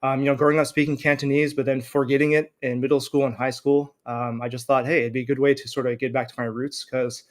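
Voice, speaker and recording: An American man in his thirties, a scholar answering interview questions in a friendly, measured way. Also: mid-range voice, flat delivery. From United States. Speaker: guest scholar.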